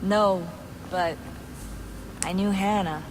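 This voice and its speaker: A guarded, thoughtful woman in a video game cutscene, played by an actress. Her mid-pitched voice is measured and earnest, pausing as she goes, over clearly noisy sound. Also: fairly even intonation, lightly acted. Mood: sombre, bitter.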